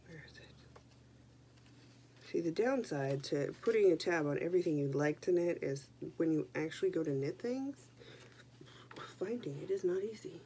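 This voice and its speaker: Young woman, low voice, congested from a cold; casual, self-deprecating vlog host. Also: lively intonation, moderate pace, pauses often, warm tone, a little hiss or hum. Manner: chatty, self-deprecating, jokey asides. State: stuffy with a head cold. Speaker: knitting podcast host. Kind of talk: casual chat to camera.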